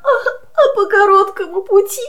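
A woman's voice: very high-pitched, normal speed, a bit bright. A woman playing a homeless, pleading aunt cat in a children's audio play, lamenting in theatrical verse. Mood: tearful pleading. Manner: plaintive, lamenting.

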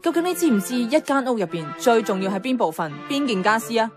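A woman's voice: on the high side, very animated, quick pace, over music. An actress playing a weary, strong-willed office woman in a Hong Kong Cantonese radio drama, mixing in English words. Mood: exasperated, insistent. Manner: code-switches into English words. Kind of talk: radio drama dialogue and inner-monologue narration.

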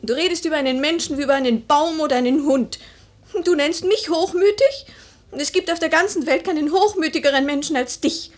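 A woman's voice: sits high, fairly quick, close to an everyday voice. An actress playing a proud, melancholy, wounded young woman in mourning in a literary radio play, with grave theatrical phrasing. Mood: indignant.

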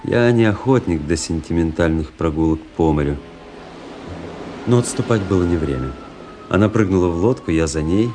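An actor playing a world-weary officer who narrates a literary radio play in a measured, brooding, dramatic way. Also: male voice, sits low, expressive. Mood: tense, reflective. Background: music.

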